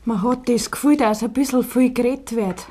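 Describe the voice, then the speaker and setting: A woman's voice: a little high, brisk, dark tone, close to an everyday voice. An actress playing a worried, probing countrywoman in a Bavarian dialect radio play. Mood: suspicious, reproachful. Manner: insistent, reproachful. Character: worried, probing countrywoman confronting her man at table.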